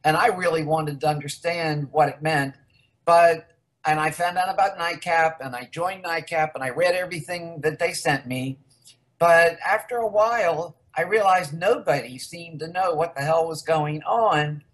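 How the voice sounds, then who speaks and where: A woman's voice: low voice, normal speed. An older woman from the United States, a researcher guest in a casual interview, rambling candidly through her story.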